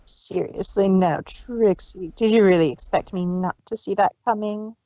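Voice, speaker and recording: A woman voicing a scheming, unhinged female pony villain with a slow, condescending, taunting delivery in a story reading. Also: mid-range voice, dark tone, no echo, lightly acted, rough recording. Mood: smug contempt. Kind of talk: dramatized fan fiction reading.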